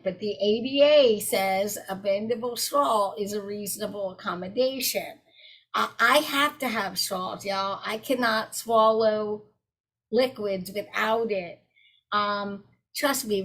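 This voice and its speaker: A woman's voice: medium pitch, rough recording. Brief: woman, webinar presenter, direct conversational delivery over a video call. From South Carolina. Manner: warm, direct, addresses audience as y'all.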